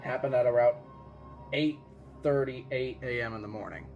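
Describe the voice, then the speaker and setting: A man's voice: medium pitch, fairly even intonation, normal speed, narrow, tinny audio, close to an everyday voice. Man acting an earnest witness over music. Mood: suspenseful. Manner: insistent, suspenseful, emphatic. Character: earnest male eyewitness spinning a grave supernatural disaster theory. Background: music behind the voice.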